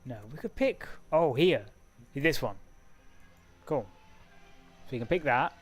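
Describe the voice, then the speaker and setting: A man's voice: medium pitch, lively intonation, slow pace, soft background music. A man live-streaming a racing sim, chatting casually and talking to himself as he clicks through menus, with frequent laughs.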